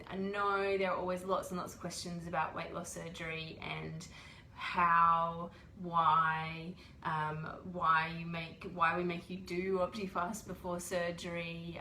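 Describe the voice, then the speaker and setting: Low-voiced woman with a cold, echoey room. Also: sharp tone, a little hiss or hum. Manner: chatty, explains patiently with many ums. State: has a cold. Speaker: host. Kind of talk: casual livestream explainer. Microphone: webcam or phone livestream.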